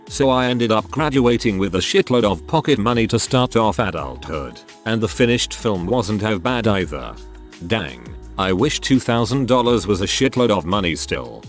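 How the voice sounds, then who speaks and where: A man reading out online stories as a narrator, in a flat read with oddly placed pauses and stresses. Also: on the low side. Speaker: narrator of reddit posts.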